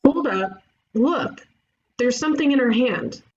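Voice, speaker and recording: A woman voicing a cocky, excitable young amateur detective in a cartoon comedy, with an emphatic know-it-all manner. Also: sits high, unhurried. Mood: confident. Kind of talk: animated comedy table read. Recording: muffled, clearly noisy home recording.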